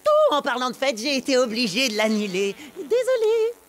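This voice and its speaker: A woman's voice: high voice, huge pitch swings, normal speed, background noise. A woman voicing an upbeat preteen boy amoeba in a dubbed cartoon, with a bouncy, playful delivery. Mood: chatty. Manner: bouncy, playful, sings and raps. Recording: music playing under the voice.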